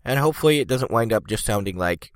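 A congested, stuffed-up man rambles through a casual podcast monologue in a low voice. His talk drifts, full of "you know" and "like." The sound is dry, with no room echo. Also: animated, fast. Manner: meandering, lots of "you know" and "like". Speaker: podcast host.

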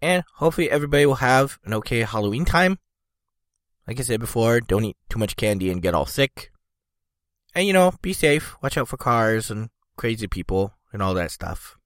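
A man's voice: medium pitch, animated, normal speed, fairly bright tone, no room sound, studio quality. A man hosting a podcast, stuffed up and congested, rambling casually with many fillers. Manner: meandering, lots of "you know" and "like". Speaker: podcast host.

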